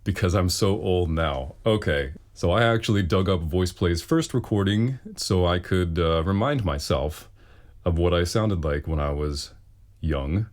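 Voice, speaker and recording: Very deep-voiced bass man, wry and casual. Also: unhurried, darkish tone. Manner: wry, self-deprecating humor. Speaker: bass singer answering viewer questions. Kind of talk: casual Q and A talk.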